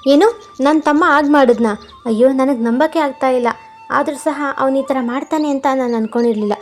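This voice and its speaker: A woman's voice: high-pitched, brisk, soft background music, natural voice. A woman voicing a caring, reasonable young husband in a cartoon moral story, speaking in an earnest, reassuring way. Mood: surprised.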